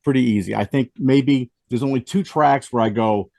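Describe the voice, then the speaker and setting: Male host, gravelly and losing his voice after the flu, dry close sound. Rambling and casual, restarts his sentences. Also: medium pitch, moderate pace, dark tone, no echo. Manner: rambling, with frequent restarts and fillers. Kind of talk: casual music review chat.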